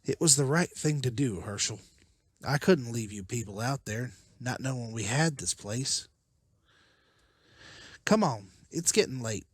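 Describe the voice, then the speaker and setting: A man's voice: medium pitch, expressive, measured pace, long pauses, husky, dry recording, clean studio sound. A man playing a firm, practical leader of survivors in a motion comic drama. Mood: reassuring. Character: decisive, level-headed male leader of survivors.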